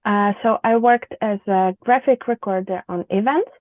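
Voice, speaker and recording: A woman in her thirties from Ukraine, speaking English with a Ukrainian accent as a guest in an interview, thoughtful and pausing to search for words. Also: medium pitch, dry recording. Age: 30s. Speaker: guest, visual practitioner. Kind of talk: conversational interview. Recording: tinny phone-like remote call line.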